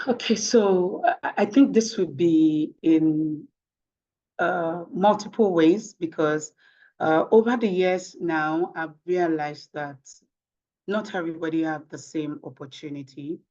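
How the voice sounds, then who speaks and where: A woman's voice: sits low, slow, darkish tone, dull audio. A woman from Nigeria with a Nigerian accent and Yoruba as her native language, answering in an interview emphatically with rhetorical questions. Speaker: interview guest, tech professional.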